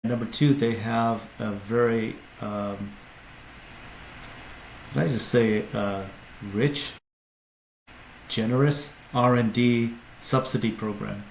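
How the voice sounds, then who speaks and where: A man's voice: mid-range voice, steady tone, slow pace, vocal fry, long pauses, slight background noise. A man, a company CEO, talking casually as a podcast guest, explaining at length with restarts and fillers. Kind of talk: casual interview talk. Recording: echoey room with faint ambience.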